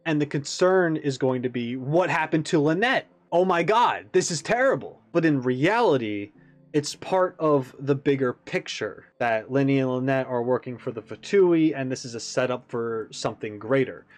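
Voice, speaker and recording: A man giving a casual, animated reaction commentary, thinking aloud as he analyzes like a game fan. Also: mid-range voice, moderate pace.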